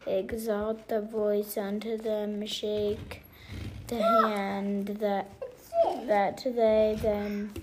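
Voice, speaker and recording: A young reader reading scripture aloud haltingly, stumbling over the hard words. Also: mid-range voice, monotone. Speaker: family member taking a turn reading. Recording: clearly noisy home recording.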